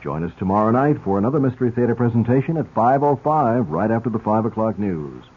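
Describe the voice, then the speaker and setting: A man's voice: on the low side, lively intonation, fast talker. A man reading scripted station announcements in an old radio style. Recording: tinny radio broadcast with noise.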